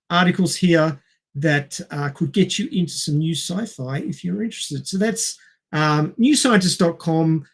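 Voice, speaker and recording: A man co-hosting a podcast in a casual, halting way with many pauses. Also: on the high side. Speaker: podcast co-host.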